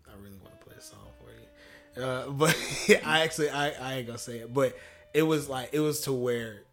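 A young man with a deep voice, a rapper talking casually as a guest in an interview, slangy and quick to laugh. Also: fairly bright tone, soft background music. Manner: laid-back slangy talk, laughs often, swears freely.

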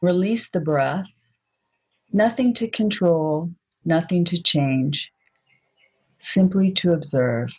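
A woman leading a guided meditation in a soft, unhurried, gently inviting way, on a dull lo-fi recording. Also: fairly low pitch, husky.

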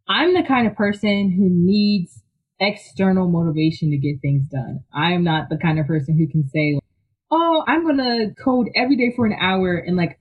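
A person from Philadelphia, a guest talking in an enthusiastic, chatty way full of "like" and quick laughs. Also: mid-range voice, husky, narrow, tinny audio. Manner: enthusiastic, chatty, filler-heavy, laughs often. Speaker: guest, technical writer. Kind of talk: casual interview chat.

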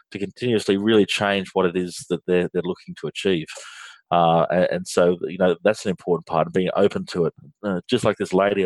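A man's voice: deep voice, no echo, low-quality recording. An Australian man, a guest in a business interview, explaining with frequent ums and restarts. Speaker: guest, finance outsourcing firm leader.

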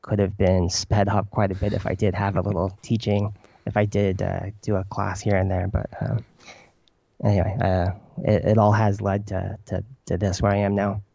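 A man's voice: on the low side, level tone, normal speed, gravelly, dark tone, no echo, muffled recording. A man whose first language was Chinese, a poet answering interview questions thoughtfully, with many fillers and restarts. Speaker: poet guest.

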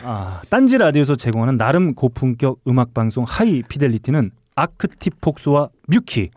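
A man's voice: medium pitch, big pitch swings, moderate pace, a little mellow, dry recording, clean studio sound. A man hosting a music podcast, bantering with his co-hosts.

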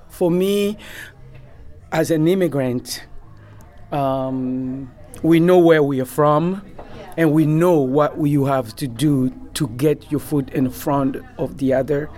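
A man from Congo, a member of a community association, answering interview questions in a halting way with many fillers. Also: a little high, mellow.

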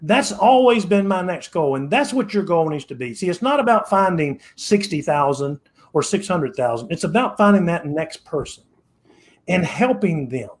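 A man in his sixties speaks as a podcast guest in a fairly high voice. He sounds preachy and repeats himself, often restarting his phrases as he hammers his point home. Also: expressive, normal speed. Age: 60s. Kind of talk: motivational interview talk.

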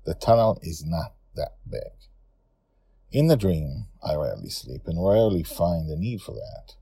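Man voicing a husky, musing old grandfather storyteller. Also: sits low, very animated, mellow, no echo, voice pushed down, full character voice. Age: older. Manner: musing, unhurried, wistful. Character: reflective old grandfather narrating his dream adventures. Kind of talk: first-person audio story narration.

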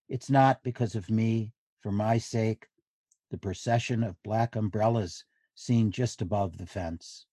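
A man giving a literary reading of diary entries in measured, deliberate phrases. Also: mid-range voice, warm tone, dry recording.

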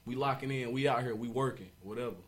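A man, a guest rapper chatting casually in an interview, energetic and repeating himself, laughing often. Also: quick pace, a crowd in the background. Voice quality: deep voice.

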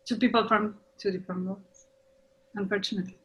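A woman in a casual, reflective discussion, halting as she searches for words. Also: mid-range voice, fairly even intonation, a little hiss or hum, poor audio.

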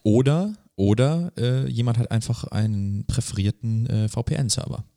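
A man's voice: medium pitch, a little slow, gravelly, mellow, no echo, clean studio sound. A man co-hosting a podcast in a casual, chatty way. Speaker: podcast co-host.

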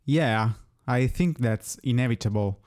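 A man from Italy, a native Italian speaker, giving measured, carefully worded answers as a musician being interviewed. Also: mid-range voice, darkish tone. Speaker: musician guest.

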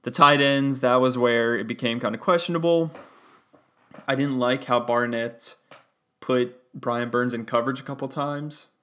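A young man hosting a solo sports podcast, talking through a game casually and analytically with a gravelly voice and frequent fillers. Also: medium pitch, fairly even intonation, normal speed, a bit bright. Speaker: podcast host.

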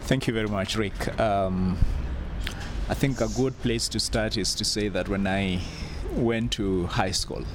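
A man's voice: fairly low pitch, steady tone, measured pace. A man with a thick Kenyan accent, answering conversationally as an interview guest. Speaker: interview guest, anti-corruption watchdog director. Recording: clear ambient background noise.

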